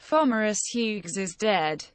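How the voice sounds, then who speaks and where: An actress playing a resolute, terse young hero in a fantasy game. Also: female voice, medium pitch, lively intonation, takes it slowly, clean tone. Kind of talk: scripted fantasy game acting.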